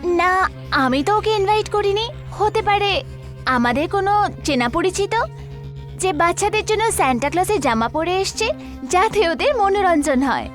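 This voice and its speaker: A protective mother in a cartoon tale acted by a woman. Her voice is very high, with light music behind. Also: animated, moderate pace, long pauses. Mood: puzzled. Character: protective mother of a young girl. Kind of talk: animated story voice acting.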